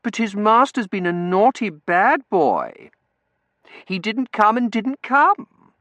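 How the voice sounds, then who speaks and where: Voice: mid-range voice, very animated, slow pace, a bit bright, dry recording, voice pushed up. A narrator voicing a spoiled, affected young lady who coos in fluttery, prissy baby talk in a storybook reading. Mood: cooing, coy.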